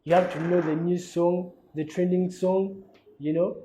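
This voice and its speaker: A man's voice: high voice, flat delivery, unhurried, mellow, slight background noise. A young Ugandan man hosting his own talk show in a loose, chatty monologue, often repeating words and tagging lines with "you know" and "man". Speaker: host. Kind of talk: casual monologue.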